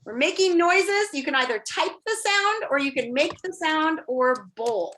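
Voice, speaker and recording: A woman's voice: high-pitched, expressive, unhurried, pushed and bright. A woman teacher casually leading a class game over a video call, playfully prompting and encouraging children. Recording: noticeable background noise on video call.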